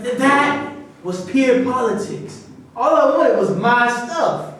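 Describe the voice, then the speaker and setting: A man's voice: very high voice, animated, slow pace, a bit bright, heavily acted. A man in a staged reading playing a touchy, defensive acquitted man who argues and protests. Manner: argumentative, protesting. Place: echoey room at a staged reading. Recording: distant mic, very echoey room, hiss.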